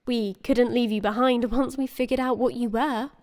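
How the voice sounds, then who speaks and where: An actress playing a composed, authoritative organization leader in an audio drama, speaking formally and with smooth reassurance. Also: female voice, fairly high pitch, fairly even intonation, normal speed, a little mellow, no room sound, lightly acted. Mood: smooth, reassuring.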